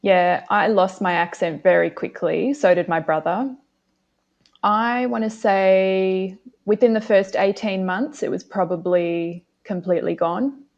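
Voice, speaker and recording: A woman from South Africa with an Australian accent, answering interview questions in a relaxed, reflective way with frequent fillers. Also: mid-range voice, a little slow, gravelly, muffled recording. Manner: reflective, many fillers and hedges. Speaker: guest.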